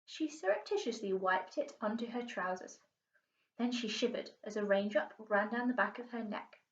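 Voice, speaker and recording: A woman's voice: medium pitch, lively intonation, normal speed, fairly bright tone. A British woman, a primary school teacher, reading a storybook aloud expressively. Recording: muffled, echoey room, distant mic.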